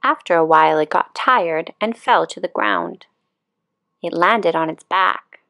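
A woman reading a children's picture book aloud as a calm, expressive storyteller. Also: fairly low pitch, a little slow, gravelly.

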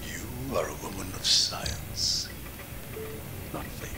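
A man's voice: low-pitched, animated, slow pace, music in the background. A man playing a coldly menacing zealot scientist in a video game, condescending and theatrical in manner. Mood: condescending, sinister. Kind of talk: dramatic video game acting.

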